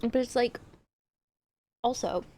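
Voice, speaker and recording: A woman's voice: a little high, slow, dark tone. A woman livestreamer chatting casually while she plays a game, with playful exclamations and asides. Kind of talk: casual gaming stream chat.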